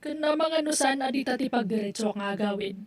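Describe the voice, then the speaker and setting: Woman doing a secretive mom, brisk, slightly high. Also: dry recording. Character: secretive mother returning from abroad. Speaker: voice actor. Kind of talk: audio drama acting.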